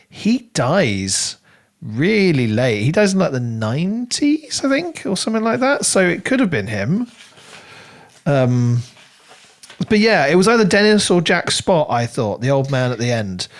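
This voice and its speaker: Casual man thinking aloud on a podcast. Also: sits high. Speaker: podcast co-host. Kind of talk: casual book review discussion.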